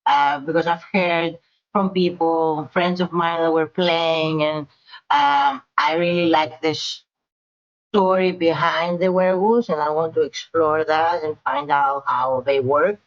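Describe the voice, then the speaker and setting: A woman's voice: sits low, fairly even intonation, unhurried, dull audio. A woman introducing herself as a player, chatty and eager. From Greece. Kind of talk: casual self-introduction.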